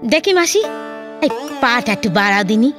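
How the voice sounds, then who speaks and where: A woman's voice: fairly high pitch, lively intonation, moderate pace, a bit bright, faint music behind. A woman playing a meek, devoted young village wife in a Bengali audio drama, speaking plaintively and deferentially with a rural West Bengal accent. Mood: caring. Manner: plaintive, deferential, folksy lilt.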